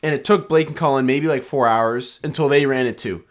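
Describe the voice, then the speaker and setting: A man's voice: mid-range voice, brisk. A young man co-hosts a casual sports podcast, his voice sitting in an ordinary middle register. He rambles cheerfully through stories with a joking, offhand manner and plenty of restarts. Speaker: podcast co-host.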